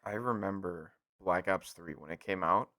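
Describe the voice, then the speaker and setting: A young adult man chatting casually as a podcast co-host, with an animated, informal storytelling manner. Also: sits low, normal speed. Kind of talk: casual gaming podcast chat.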